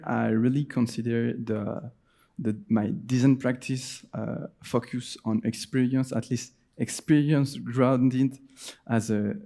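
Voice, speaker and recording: A man's voice: medium pitch, warm tone. A man in his twenties with a Swiss French accent, giving a conference presentation with many hesitations and restarts. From Switzerland. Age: 20s. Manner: hesitant, frequent fillers and restarts. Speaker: designer giving a conference talk. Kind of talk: presentation talk.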